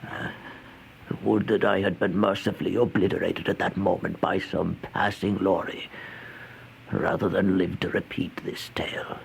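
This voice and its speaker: An actor playing an exhausted, starving homeless man who recounts a horror tale in a halting, breathy, theatrical old-time radio style, often dropping toward a whisper. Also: deep voice, level tone. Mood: bitter, ominous. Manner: halting, slow, theatrical, anguished. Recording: noisy old radio recording.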